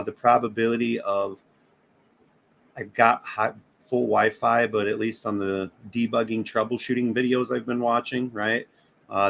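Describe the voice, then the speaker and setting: A man's voice: on the low side, creaky, fairly bright tone, slight background noise. A man hosting a casual podcast livestream, talking loosely and swearing freely as he thinks aloud. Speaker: podcast host. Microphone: remote stream setup.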